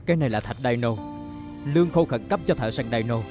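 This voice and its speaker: A man playing a gruff, aloof young dinosaur hunter in a Vietnamese cartoon dub, curt and scornful. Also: medium pitch, fast talker, over music, natural voice. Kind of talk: Vietnamese-dubbed cartoon acting.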